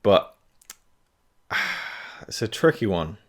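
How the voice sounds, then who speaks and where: Man, very deep voice, casual vlog update, rambling with fillers, speaking gingerly through tooth pain. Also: big pitch swings, very slow, fairly bright tone. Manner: rambling, hesitant, many fillers and restarts. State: talking carefully because of tooth pain. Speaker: film review channel host. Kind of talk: casual channel update to camera.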